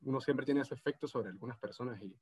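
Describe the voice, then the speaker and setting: Man, Venezuelan Andean accent, thirties, halting, restarts often, mid pitch. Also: a little mellow, breathy edge. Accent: Venezuelan Andean (gocho) Spanish. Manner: hesitant, many restarts and fillers. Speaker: interview guest. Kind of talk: casual video interview.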